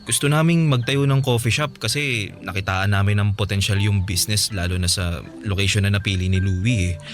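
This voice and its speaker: An actor playing an earnest, sheepish boyfriend with big dreams in a radio drama. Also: male voice, lowish voice, fairly quick, soft background music, close to an everyday voice. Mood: nervous, hopeful. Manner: hesitant openings, earnest explaining. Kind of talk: radio drama acting, conversational.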